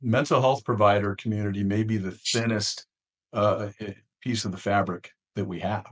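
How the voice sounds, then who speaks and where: A man's voice: lowish voice, fairly even intonation, moderate pace. A middle-aged or older American man hosting a conversational interview, with wry, folksy turns of phrase. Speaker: podcast host.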